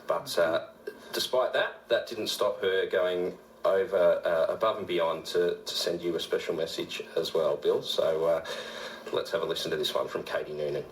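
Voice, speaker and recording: A man's voice: low voice, steady tone, normal speed, roomy sound, poor audio. A man with an Australian accent, a radio presenter chatting casually on air as co-host.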